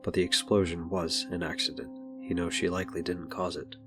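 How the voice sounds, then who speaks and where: A man's voice: low voice, little pitch movement, brisk, music in the background, lightly acted. A man narrating a scripted superhero audio drama. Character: narrator of a superhero adventure story.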